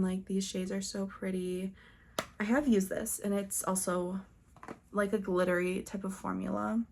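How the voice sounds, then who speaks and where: A woman's voice: mid-range voice, a little mellow. A woman beauty vlogger talking casually and chattily through products, with conversational trailing asides. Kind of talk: casual product show-and-tell.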